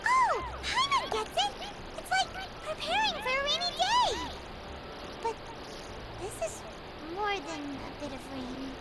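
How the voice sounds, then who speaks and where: A woman voicing a tiny, excitable and earnest childlike fairy companion in an anime game. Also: very high-pitched, slow, heavy background noise, full character voice. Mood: excited, then uneasy. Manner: speaks of herself in the third person, blunt questions.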